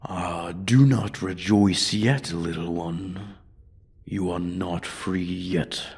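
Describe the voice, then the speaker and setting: Ancient male dragon, played by a man, fantasy audio roleplay: very deep, unhurried, condescending, bored menace, formal speech. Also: steady tone, creaky, darkish tone, slightly rough, exaggerated voice. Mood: menacing, toying.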